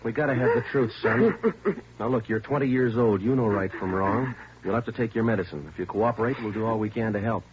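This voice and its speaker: Man playing a quick, low-voiced police detective. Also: natural voice. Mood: stern, urging. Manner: terse, clipped, matter-of-fact questioning. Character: firm, businesslike male police detective. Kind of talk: 1950s radio police drama acting. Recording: dull, noisy old radio recording.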